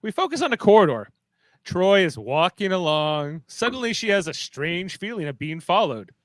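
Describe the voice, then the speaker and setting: A man's voice: high voice, sing-song, moderate pace, no room sound. A man narrating stage directions in a playful, dramatic way for a live fan script reading.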